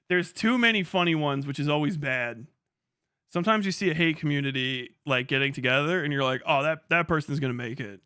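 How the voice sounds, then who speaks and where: A man's voice: a little high, moderate pace, long pauses, pushed and bright, clean tone, no room sound, studio quality. A young man casually chatting on a livestream, reading chat aloud, swearing freely and laughing often as he rambles.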